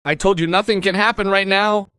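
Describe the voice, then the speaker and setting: Guy playing a busy husband in a cartoon, curt, crisp voice, dry sound. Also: high voice, fairly even intonation, fairly quick, sharp tone, no echo. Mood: dismissive. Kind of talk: dubbed cartoon dialogue.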